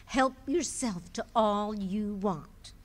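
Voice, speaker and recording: A woman's voice: medium pitch, slow. An actress playing a cheerful, teasing wife in a live radio play, laughing often as she needles her husband. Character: cheerful teasing wife, good-natured and knowing.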